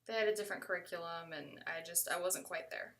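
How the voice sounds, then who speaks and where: American woman, bright forward voice, conversational first-person storyteller, recorded a little away from the mic. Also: fairly low pitch, fairly even intonation, quick pace, distant mic.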